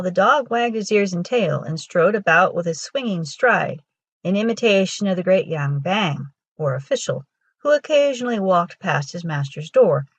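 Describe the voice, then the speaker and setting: A woman with a husky voice reading a children's folktale aloud as a storyteller. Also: on the low side, no room sound, highs cut off. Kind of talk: scripted storybook narration for children.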